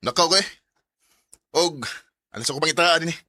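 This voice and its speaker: An actor with a Cebuano Filipino accent playing a proud, wounded young boyfriend in a radio drama. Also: male voice, fairly high pitch, measured pace, sharp tone, no echo. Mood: hurt, indignant.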